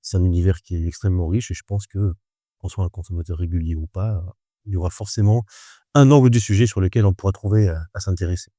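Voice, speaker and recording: A man in his thirties who teaches wine, talking in a measured, hesitant way with many fillers in a casual podcast chat. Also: sits low, warm tone, no echo, studio quality. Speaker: wine instructor.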